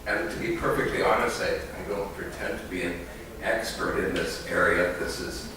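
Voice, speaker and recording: Deep-voiced man in an echoey hall. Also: steady tone, moderate pace. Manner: hesitant, many fillers and restarts. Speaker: conference speaker, economist. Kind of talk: academic talk, unscripted. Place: conference hall. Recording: echoey hall, distant mic, noisy.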